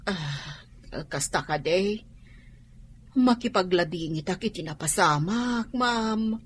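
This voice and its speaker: An actress playing a loyal housekeeper who speaks in a deferential, hesitant way in a radio drama. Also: female voice, fairly low pitch, expressive, takes it slowly, breathy edge, heavily acted. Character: loyal female housekeeper, Manang Carmen.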